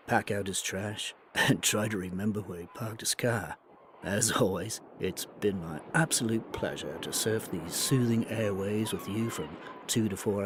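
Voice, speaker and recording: An actor as low-voiced mellow DJ over ambient noise. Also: male voice, lightly acted. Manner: easygoing, folksy, soothing. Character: mellow, breezy male late-night ambient radio DJ. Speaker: radio DJ. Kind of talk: soothing late-night radio patter. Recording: clear ambient noise under voice.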